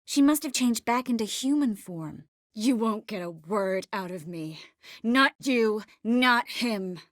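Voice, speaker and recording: An actress playing a poised, caring yet firm sorceress in scripted video game dialogue. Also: female voice, on the high side, expressive, slow, dry recording, clean studio sound. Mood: calm, firm.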